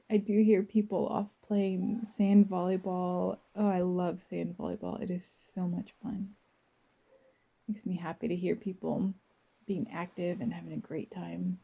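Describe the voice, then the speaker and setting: Female guided-visualization narrator, very dark soft tone, breathy with some creak, gentle, dreamy, unhurried delivery. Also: lowish voice, rough recording. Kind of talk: slow first-person guided visualization.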